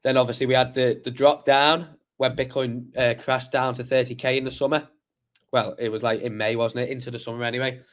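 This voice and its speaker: A man hosts a live crypto stream, his voice a little croaky today. He is mid-pitched and talks through charts in a loose, rambling way, full of fillers and restarts. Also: little pitch movement, fairly quick. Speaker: crypto livestream host. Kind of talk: casual live chart analysis.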